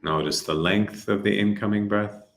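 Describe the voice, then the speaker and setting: A man calmly leading a guided breathing meditation, giving soft-spoken instructions with long silences between them. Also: lowish voice, normal speed, echoey room.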